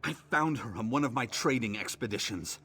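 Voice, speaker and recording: A man's voice: mid-range voice, expressive, moderate pace, sharp tone. A man voicing a dying male merchant who is bitter and scheming, speaking in an accusing way, as AI-voiced game dialogue.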